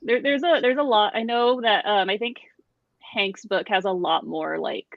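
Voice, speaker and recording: A woman's voice: mid-range voice, normal speed, vocal fry, no room sound. A woman talking in a casual book discussion, with slightly muffled lo-fi sound.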